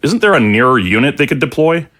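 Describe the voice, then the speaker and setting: A man's voice: medium pitch, very animated, fast, fairly bright tone. A man playing a suspicious, hawkish army general in an audio drama, sardonic and emphatic.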